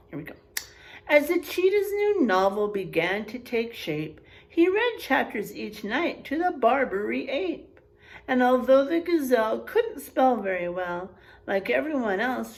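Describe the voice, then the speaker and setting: Female primary teacher, high lively voice with wide swings, unhurried, encouraging read-aloud to little kids. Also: poor audio. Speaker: first grade teacher. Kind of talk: picture book read-aloud and chat to young pupils.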